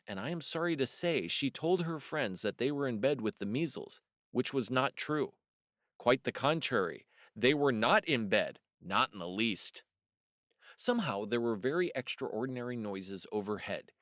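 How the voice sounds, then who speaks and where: A man reads a children's tale in a medium-pitched voice with very animated intonation. He leans expressively on key words, like a storyteller with young listeners. The sound is dry. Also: no room sound. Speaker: storyteller, host of a read-aloud series.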